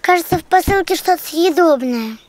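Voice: very high-pitched, lively intonation, brisk, background noise. A performer voicing a small, eager, childlike cartoon creature, friendly and helpful.

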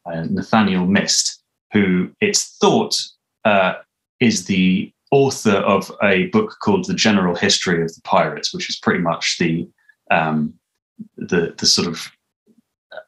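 A British man in his thirties chats as an interviewee. His voice sits very low, and he picks through his words haltingly. The room echoes around him on a video call. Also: a bit bright. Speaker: interviewed audio drama creator and composer. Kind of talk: casual interview talk. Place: small room indoors.

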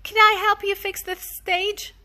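A woman voicing an eager, sweet young tiger cub boy in a children's storybook, with a high childlike voice. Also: flat delivery, a little slow, bright, forceful tone, full character voice.

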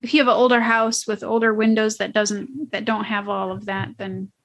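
A woman's voice: mid-range voice, flat delivery, moderate pace, a bit bright. A woman co-hosting a podcast in an informal, conversational style. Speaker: podcast co-host. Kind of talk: casual conversation.

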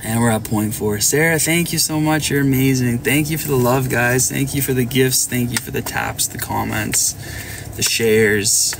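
A man's voice: mid-range voice, moderate pace, creaky, noisy background. A young man hosting a casual livestream from a parked car, talking to viewers on a phone held at arm's length and thanking them by name. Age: young adult. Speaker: livestream host. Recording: music playing loudly behind. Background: song playing in the car.

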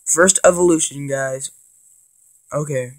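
A man with a cold and a congested voice, rambling casually with lots of ums and excited bursts as he plays and narrates a handheld game. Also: a little high, slow pace. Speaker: gaming channel host. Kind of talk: casual let's play commentary.

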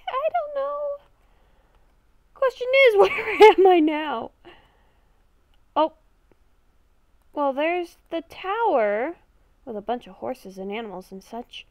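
A woman playing a confused, exasperated young werewolf-vampire hybrid in an improvised game roleplay, thinking aloud in a halting, dramatic way. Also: extremely high pitch, a little mellow, no room sound, full character voice. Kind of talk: improvised Minecraft roleplay narration while playing.

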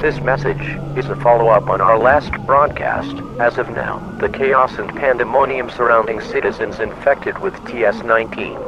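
Casting: man acting as formal, impersonal male emergency broadcast announcer, mid-pitched, on a dull recording with clear noise. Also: normal speed, hardly any pauses, lightly acted. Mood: formal, grave. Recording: muffled lo-fi, loud background noise.

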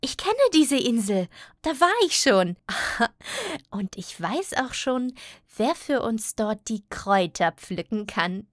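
A woman's voice: on the high side, expressive, takes it slowly, no room sound, clean studio sound. A woman voicing an earnest, friendly little cloud with a childlike, eager manner in a children's audio play. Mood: happy.

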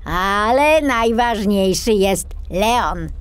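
A woman playing a jovial, teasing old male forester in a children's storybook, with a hearty, drawn-out delivery. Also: mid-range voice, animated, clear, resonant tone, voice pushed up. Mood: explaining. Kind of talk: children's storybook character acting.

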